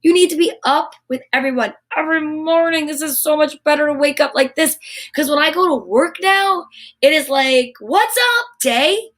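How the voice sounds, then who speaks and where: A woman's voice: high voice, normal speed, fairly bright tone. A woman hosting a live children's story show, chatting to viewers in an exuberant, emphatic, informal and encouraging way. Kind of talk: upbeat live chat to viewers, reading picture books aloud.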